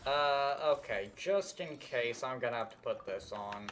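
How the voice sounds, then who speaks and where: Young man, game streamer, casual rambling commentary, animated pitch, noticeable background noise. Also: measured pace. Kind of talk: casual live gameplay commentary. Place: indoor room at a desk.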